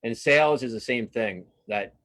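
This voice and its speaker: A man's voice: mid-range voice, normal speed, fairly bright tone. A man speaking casually as an interview guest, a cartoonist and writer who often repeats words and restarts his phrases.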